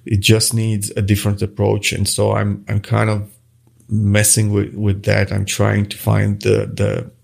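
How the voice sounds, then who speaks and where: A man's voice: fairly low pitch, monotone, a little slow, vocal fry, a little mellow. A middle-aged man from Croatia, a native Croatian speaker, answering interview questions in a hesitant, casual way with many restarts. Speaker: guest, watch face designer.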